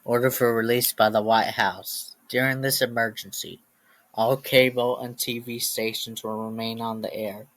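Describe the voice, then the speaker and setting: A man playing a solemn official emergency alert announcer, speaking formally and deliberately in halting phrases. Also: mid-range voice, fairly bright tone, close to an everyday voice, rough recording.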